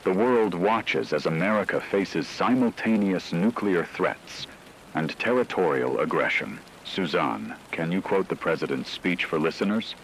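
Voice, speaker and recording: Deep-voiced actor as a grave male war newscaster. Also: lively intonation, measured pace, a little hiss or hum, rough recording. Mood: grave, urgent. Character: grave male radio news anchor reporting a world war. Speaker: radio news anchor. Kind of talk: scripted dramatic news broadcast.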